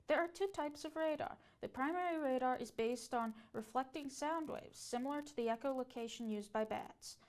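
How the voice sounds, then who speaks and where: A woman with a somewhat high voice presents an educational script. She narrates as a presenter explaining material to learners. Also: darkish tone.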